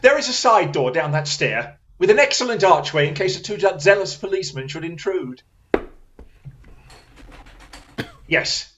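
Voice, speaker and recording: A keen, assured gentleman detective as acted out in an audio drama, speaking in formal, precise phrases. He takes command with theatrical confidence, at a medium pitch. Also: lively intonation, fairly quick, dull audio, slight background noise, natural voice. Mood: dry, composed.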